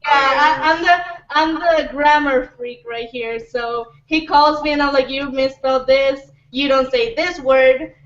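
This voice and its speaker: A woman's voice: high voice, fairly even intonation, a little slow, few pauses. A woman chatting casually and playfully teasing, heard muffled and away from the microphone in an echoey space. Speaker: comic team's grammar checker. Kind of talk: casual chat. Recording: echoey, muffled, distant from mic.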